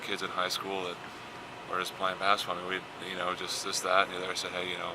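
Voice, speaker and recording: A man's voice: low-pitched, completely flat delivery, fast talker. A young American man from Indiana in his twenties, answering interview questions casually as a college athlete, often repeating words. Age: 20s. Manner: repeats words, frequent "you know". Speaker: college basketball player. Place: basketball fieldhouse. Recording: clear ambient noise of a gym.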